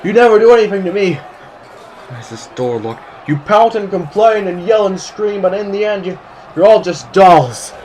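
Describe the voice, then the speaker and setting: A man's voice: a little high, slight background noise, lightly acted. A man playing a haughty, paranoid revolutionary politician in a sci-fi audio drama, speaking in formal, pompous oratory. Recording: roomy, echoing sound.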